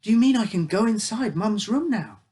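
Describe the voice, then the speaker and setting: A man's voice: high voice, lively intonation, moderate pace, warm tone, a little hiss or hum, voice pushed up. A man reading a story, voicing a young boy who eagerly questions his father. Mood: eager, puzzled.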